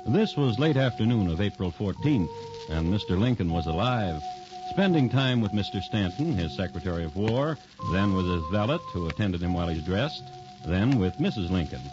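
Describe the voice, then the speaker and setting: An actor playing a dry, deliberate American crime storyteller hosting a 1950s radio show. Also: male voice, sits low, sing-song, over music. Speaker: host and narrator. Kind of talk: 1950s radio scripted narration. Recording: dull 1950s radio recording.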